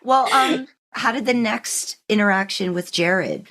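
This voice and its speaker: A woman's voice: mid-range voice, unhurried, a bit bright. A woman hosting a podcast, asking questions in a casual, conversational way with hesitant, rambling phrasing. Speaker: podcast host.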